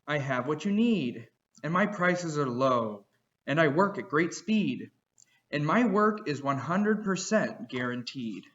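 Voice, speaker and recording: A man doing a slick fast-talking salesman. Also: lively intonation, a bit bright, lo-fi sound. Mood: salesy. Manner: smooth sales pitch, clear and keen.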